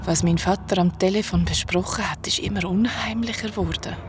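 Eine Schauspielerin mit Schweizer Färbung spielt in einem Hörspiel die verstörte Geistertochter, stockend und hauchig, mit Wortwiederholungen. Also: female voice, medium pitch, background noise, lightly acted. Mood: hushed dread. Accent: Swiss. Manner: halting, breathy, repeating words under strain. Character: young woman ghost, haunted, distressed daughter. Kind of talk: radio drama narration and dialogue.

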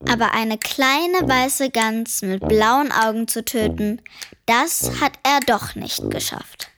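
A woman's voice: a little high, wildly swooping pitch, slow pace, fairly bright tone, slight background noise, heavily acted. A woman playing a clever, defiant ten-year-old girl in a children's radio play, dry and wordy as she tells the story. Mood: wry, dry. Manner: dry, wry, precociously wordy. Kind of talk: German children's radio play narration and dialogue.

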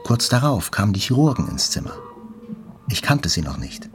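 Sober, lowish-voiced wounded narrator. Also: male voice, big pitch swings, normal speed. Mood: introspective, sober. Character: sober, wounded male journalist recounting his hospital stay. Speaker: first-person narrator. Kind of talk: literary audio drama narration. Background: faint music.

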